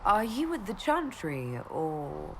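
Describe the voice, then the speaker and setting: An actress playing a curious, direct young elf mage in a video game, questioning and dryly witty. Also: female voice, mid-range voice, sing-song, slow, background noise. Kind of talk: video game cutscene acting.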